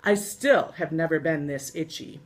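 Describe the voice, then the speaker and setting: An American woman reading her own scripted travel memoir aloud in an expressive storytelling manner, with deliberate pauses. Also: low-pitched. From United States. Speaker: author reading from own book.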